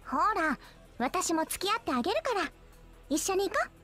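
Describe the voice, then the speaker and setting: High-pitched, teasing anime girl archer. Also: very animated, normal speed, music in the background. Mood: soft, affectionate. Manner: coy, teasing, theatrical. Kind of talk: Japanese anime game voice acting.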